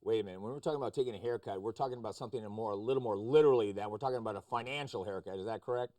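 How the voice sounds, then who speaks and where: A man's voice: lowish voice, racing, dark tone. Probing male talk show host. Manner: probing, interjecting with questions. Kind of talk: interview-style discussion of news.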